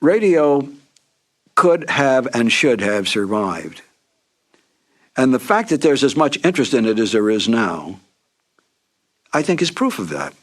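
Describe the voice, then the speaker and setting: An older American man reflecting in an interview as a veteran radio performer, measured, emphatic and opinionated. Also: medium pitch.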